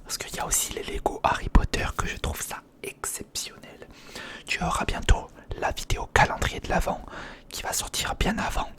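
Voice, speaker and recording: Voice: moderate pace, fairly bright tone. Whispering ASMR creator, close and intimate. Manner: whispering, addressing the viewer intimately and informally. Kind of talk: ASMR, whispered narration of a toy unboxing and build. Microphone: sensitive recorder microphone, close.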